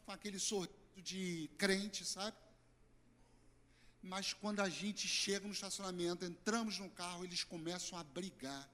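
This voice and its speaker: A hoarse middle-aged man with a Brazilian Portuguese accent, preaching an earnest, emphatic sermon as a pastor. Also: sits high. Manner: earnest, emphatic preaching with sudden loud and soft swings. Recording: church hall reverb.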